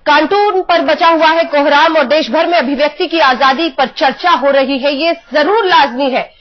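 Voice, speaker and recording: A woman's voice: sits high, moderate pace. A woman anchoring a live TV news debate, putting pressing questions and cutting in on her guests. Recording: dull lo-fi broadcast audio.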